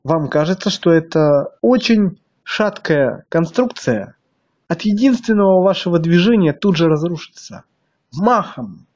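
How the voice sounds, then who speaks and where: A man's voice: high-pitched, expressive, measured pace, a little mellow, dull audio. A man with a slightly stuffy nose delivering a blunt, crude monologue to his viewers, hammering them with rhetorical questions. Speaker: video blogger.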